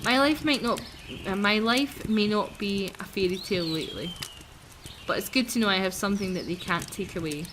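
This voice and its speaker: A woman reads as a young fairy-tale servant girl from a visual novel, lonely and dreamy. Her musing voice sits at a middle pitch, with faint noise and birdsong behind it. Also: brisk, natural voice. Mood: weary, resentful. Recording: noisy, game birdsong behind the voice.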